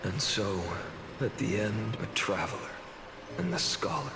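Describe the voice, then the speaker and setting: An actor voicing a solemn, world-weary man resigned to endless struggle in a grave, measured video game performance. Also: lowish voice, big pitch swings. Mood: somber. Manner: grave, measured, sighing delivery. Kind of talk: dramatic video game voice acting. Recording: game dialogue under background music.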